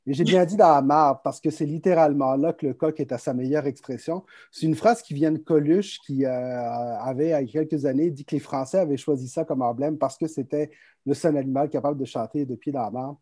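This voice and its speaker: A man's voice: on the high side, fairly quick, mellow, dry recording. A man around forty, from Chile and raised in France, with an accent that is half Québécois and half French, speaking as a guest entrepreneur on a video call and repeating words as he thinks. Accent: mixed Québécois and French. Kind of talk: relaxed conversational storytelling. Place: home office on a video call.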